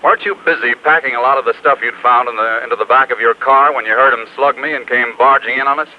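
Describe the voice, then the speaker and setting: An actor playing a dry, knowing American federal agent, terse and deadpan, heard over a telephone in old radio drama. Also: male voice, mid-range voice, quick pace. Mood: dry, needling. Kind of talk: mid-century American radio drama acting. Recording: thin phone-like old radio, faint noise.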